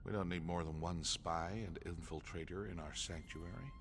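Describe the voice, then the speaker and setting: A man's voice: low voice, steady tone, moderate pace. An actor voicing a guarded, curt male assassin who cautions recruits in a video game. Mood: cool warning. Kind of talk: video game character acting. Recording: noisy ambience behind the voice.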